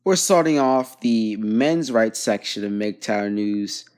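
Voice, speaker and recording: A man's voice: on the low side, animated, slow, a little mellow, clear, resonant tone. A man from Bangladesh hosting a casual news commentary, rambling with lots of fillers and restarts. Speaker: host.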